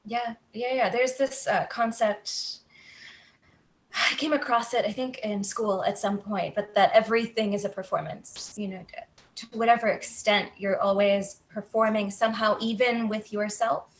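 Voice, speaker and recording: A woman guest on an interview, answering in a thoughtful, wry, soft-spoken way. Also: medium pitch, fairly even intonation, normal speed. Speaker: guest author.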